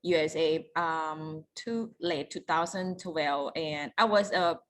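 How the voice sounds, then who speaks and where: This woman from Thailand speaks English with a Thai accent, searching for words and padding with fillers. Her voice sits slightly low, and the sound is that of a video call. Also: fairly bright tone. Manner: halting, non-native phrasing with fillers. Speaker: chef and restaurant owner. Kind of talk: panel self-introduction.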